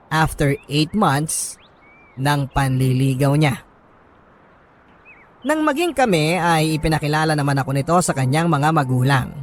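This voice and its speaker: A Filipino man reading a first-person story as a radio host, in a measured, sentimental dramatic narration with pauses. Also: a little high. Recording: music bed under the voice. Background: background music.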